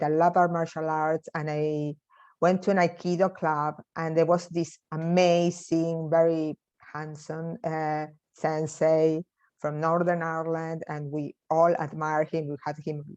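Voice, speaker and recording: Latin-accented woman storyteller, mellow and muffled. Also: deep voice. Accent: Latin American. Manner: halting, non-native English with small grammar slips. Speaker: speaker at a storytelling talk. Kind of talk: personal anecdote and advice to an audience. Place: online video meeting.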